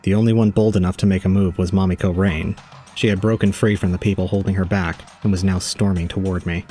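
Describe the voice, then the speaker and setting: Man acting a deep-voiced, resigned young student. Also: quick pace, faint music behind, natural voice. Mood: solemn, resigned. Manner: solemn, calm, unemotional. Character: detached, resigned young male student recounting his own ordeal. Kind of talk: scripted first-person audio drama narration.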